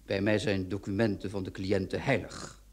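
A man playing a brisk, fussy notary in an old Dutch radio play, speaking formally and deliberately. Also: low voice, little pitch movement, darkish tone. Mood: formal, solemn. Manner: formal, deliberate, shushing interruptions. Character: brisk, fussy male notary reading out a will.

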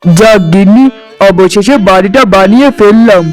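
A man's voice: high-pitched, normal speed, faint music behind, natural voice. A man voicing a caring, cheerful young village husband in a cartoon, homely and reassuring. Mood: contented, caring. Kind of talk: cartoon voice acting.

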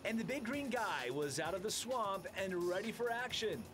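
A man's voice: high-pitched, lively intonation, moderate pace. A male reporter reading an upbeat, promotional scripted narration for a TV feature. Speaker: field reporter. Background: music.

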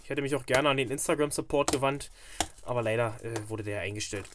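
A man's voice: medium pitch, fairly quick, noisy background. A man hosting a casual fishing unboxing vlog, chatty and rambling as he thinks aloud, filmed indoors at a table on a camera mic. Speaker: fishing channel host.

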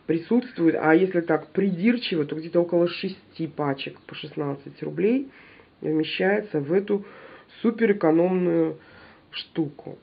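A woman with a cold talks through a shopping haul, her voice husky and a little breathy with a blocked nose. The sound is dull and lo-fi, like a home camera mic. She chats animatedly and speaks straight to her viewers. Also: on the low side. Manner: chatty, animated, addresses viewers directly. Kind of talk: casual haul video chat to viewers.

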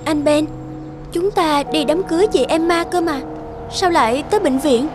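An actress as a young cartoon woman with a high voice, anxious and hesitant in love. She speaks in dubbed animated dialogue over clear background music. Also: moderate pace, natural voice. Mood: puzzled. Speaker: voice actor in an animated moral tale. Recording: music behind the voice.

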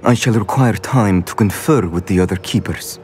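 A man's voice: low voice, a little mellow, faint music behind, natural voice. A man playing a guarded, formal old professor. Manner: measured, formal. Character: guarded wise old professor, a portrait keeper.

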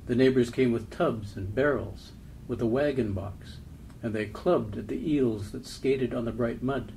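A man's voice: mid-range voice, a little slow, creaky, darkish tone, slight background noise, poor audio. A man reading a literary poem aloud as part of a group reading. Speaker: poetry reader.

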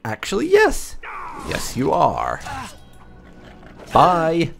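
A man's voice: on the high side, huge pitch swings, drawn-out words, creaky, a bit bright, faint music behind. A man giving casual, wry commentary as he hosts a roleplay video game playthrough. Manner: wry, sarcastic asides. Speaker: gamer, host of a roleplay playthrough.

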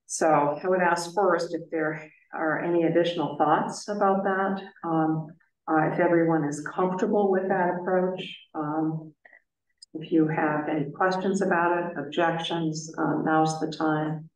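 Slow, low-voiced woman chairing a meeting. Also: fairly even intonation, distant mic. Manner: measured and careful, many filler pauses. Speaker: planning board chair. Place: town office meeting room. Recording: echoey room, voice picked up from afar.

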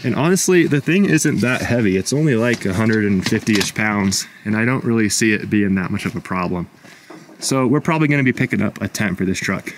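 A man's voice: on the low side, brisk, a little hiss or hum. A young man chatting casually about his camping setup while filming outdoors at a forest campsite on a handheld camera. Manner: relaxed, chatty explaining. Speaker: vlogger.

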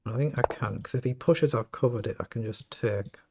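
Croaky middle-aged male streamer, gravelly, mid-pitched, thinks aloud over games; noisy home recording with clicks. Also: brisk. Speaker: chess streamer. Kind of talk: live game commentary.

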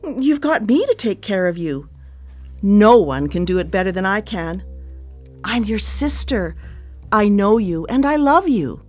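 An actress in a radio play playing a controlling, composed cannibal cook who dotes menacingly on family. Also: female voice, medium pitch, big pitch swings, unhurried, a little mellow, faint music behind. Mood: cool, possessive. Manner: composed, coy, domineering. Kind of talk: live radio play acting.

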